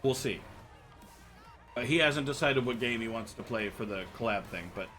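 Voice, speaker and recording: An American man talks casually to his viewers as he plays, his pitch sitting right in the middle. He rambles and jokes, tripping over and repeating his words. Faint game music plays quietly behind him. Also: normal speed. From United States. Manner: rambling, joking, frequent stammered restarts and laughs. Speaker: streamer. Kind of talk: casual chat with viewers while gaming.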